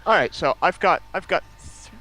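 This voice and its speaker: A man's voice: a little high, expressive, moderate pace, pushed and bright, rough recording. A man chatting casually as a guest on a gaming livestream, often repeating words as he gets sentences going. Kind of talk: casual banter and chat.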